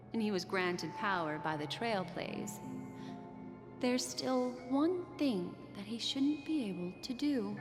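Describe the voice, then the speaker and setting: Enigmatic female game guide: unhurried, coy and composed storytelling over clear music. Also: medium pitch. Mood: musing. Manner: measured, deliberate, coy. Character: enigmatic, composed, teasing adult woman guide. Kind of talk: dramatic video game narration. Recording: long reverb, music behind.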